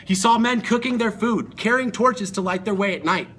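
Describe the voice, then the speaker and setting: A man's voice: high voice, moderate pace, fairly bright tone, slight background noise. A male student performer telling a myth as a dramatic story in an amateur recording.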